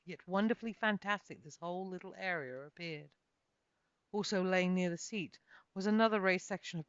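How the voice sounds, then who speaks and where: A woman author reading her own fantasy novel as a dramatic first-person storyteller. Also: on the low side. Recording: muffled, echoey home recording.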